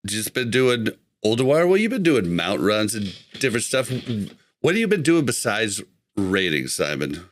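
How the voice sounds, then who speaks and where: A man's voice: lowish voice, sing-song, moderate pace, slight background noise. A man hosting a casual gaming podcast, easygoing and laughing along with his guest. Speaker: podcast host.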